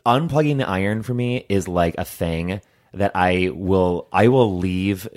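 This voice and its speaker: Brief: male podcast co-host, very low voice, agreeable, dry humor. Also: a little slow, a little mellow. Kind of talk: casual chat reviewing a movie.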